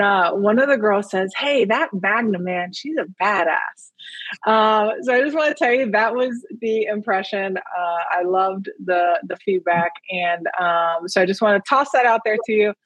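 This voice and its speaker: A woman's voice: mid-range voice, fairly bright tone, slightly rough, no echo. A woman hosting a podcast, chatting with enthusiasm and leaning on fillers as she sets up her questions. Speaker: podcast host. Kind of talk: casual interview. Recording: slightly muffled lo-fi sound.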